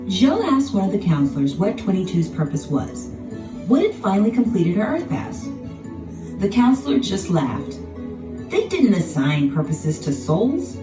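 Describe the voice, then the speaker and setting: A woman narrating a children's storybook in an expressive, dramatic scripted style. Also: medium pitch. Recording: roomy, distant sound with music behind.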